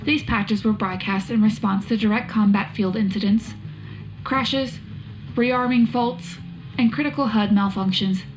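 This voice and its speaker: A woman playing a brisk military tech officer, reading a clipped, official report for a sci-fi wartime radio show. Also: medium pitch, fairly even intonation, lightly acted, rough recording. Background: faint music.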